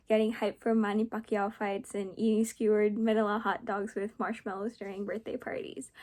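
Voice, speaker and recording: A teenage girl, a high school student, reading her personal essay aloud, hesitant, with fillers and small laughs. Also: darkish tone. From United States. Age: teens.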